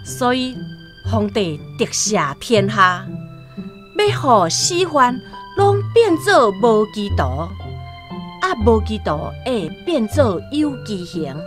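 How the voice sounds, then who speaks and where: Slow, animated Taiwanese woman narrator. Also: a little high, music in the background. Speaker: radio drama narrator. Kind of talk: expressive scripted story narration.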